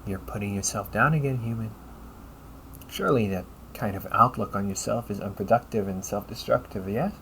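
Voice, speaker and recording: A man playing a polite, caring A.I. companion in an audio roleplay, speaking in measured, formal phrases and often checking with a questioning tag. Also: on the low side, vocal fry, a bit bright, slight background noise, poor audio. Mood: gently questioning. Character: polite, caring male A.I. companion, gently logical and encouraging. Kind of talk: audio roleplay addressed to the listener.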